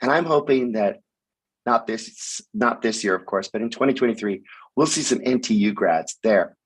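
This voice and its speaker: A man speaking hoarsely with a raspy voice while sick with the flu, giving a halting sponsor talk over a video call with many ums. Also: lowish voice, poor audio. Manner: halting, frequent ums, occasional cough. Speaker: sponsor speaker at hackathon opening. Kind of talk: online event speech.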